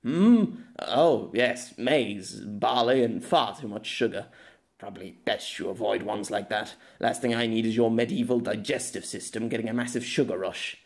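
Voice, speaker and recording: A man's voice: fairly low pitch, normal speed, darkish tone, natural voice. A man voicing a dry, weary ex-knight. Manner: dry, sardonic. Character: weary middle-aged man, former knight, dry and irritable.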